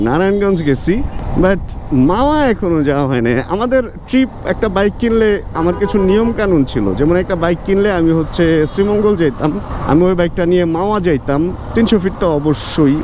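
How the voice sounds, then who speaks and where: A man with a Bangladeshi accent and a notably high voice talks in a casual vlogging style. He drops English words into his sentences as he goes. The recording is made outdoors on a motorbike, with clear traffic noise around him. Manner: mixes English words into speech. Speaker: motovlogger. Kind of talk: casual vlog chat while riding. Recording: loud road and traffic noise.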